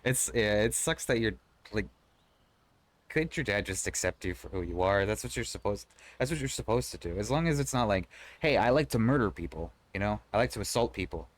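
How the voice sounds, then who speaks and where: A man's voice: fairly low pitch, lively intonation, brisk. A man live-streaming, chatting casually with his viewers and answering their messages in a loose, rambling way. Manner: conversational and rambling, frequent restarts, swears casually.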